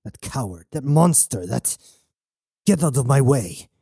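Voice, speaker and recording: A man's voice: mid-range voice, sing-song, dark tone, slightly rough, no echo, clean studio sound, exaggerated voice. Man acting bitter young pirate heir, heated. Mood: angry, hurt. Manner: halting, heated outbursts. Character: angry, grieving young male pirate heir, bitter and defiant.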